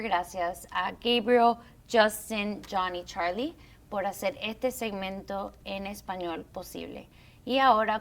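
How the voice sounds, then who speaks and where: A woman's voice: mid-range voice, fairly bright tone. Courteous, formal woman host leading a legal interview. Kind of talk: hosting a call-in legal segment.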